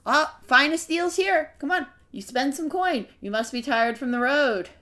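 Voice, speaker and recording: Give me a woman playing a pushy fruit seller in a fantasy game, eager, pitched high. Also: animated, measured pace, bright, forceful tone, exaggerated voice. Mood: hawking. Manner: eager salesman patter.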